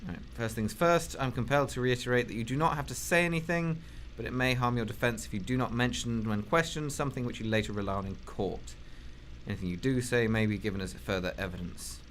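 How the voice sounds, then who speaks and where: A weary, sardonic detective, played by a man presses a suspect with blunt questions in a mid-pitched voice. The student radio play has faint noise behind him. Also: fast, fairly bright tone, natural voice. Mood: pressing. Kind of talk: amateur radio drama acting.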